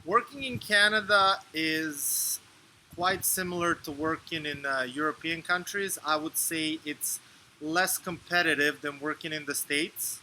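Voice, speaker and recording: A man from Serbia in his thirties, answering interview questions in a measured, explanatory way with fillers and restarts. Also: on the high side, bright, forceful tone, slight background noise, low-quality recording. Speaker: interview guest.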